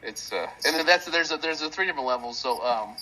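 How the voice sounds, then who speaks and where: A man hosting a casual online Q&A, speaking haltingly and rambling, often restarting his sentences mid-thought. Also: on the high side, expressive, sharp tone, slight background noise, low-quality recording. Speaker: host of a crypto project community audio call. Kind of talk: casual unscripted Q&A chat. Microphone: online audio call.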